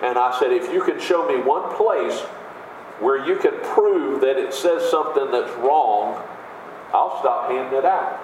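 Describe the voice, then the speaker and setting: A man with a big booming voice preaching a sermon in an emphatic, conversational way, with rhetorical questions. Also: animated, a little slow, a little hiss or hum. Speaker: pastor. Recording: echoey room with faint ambience.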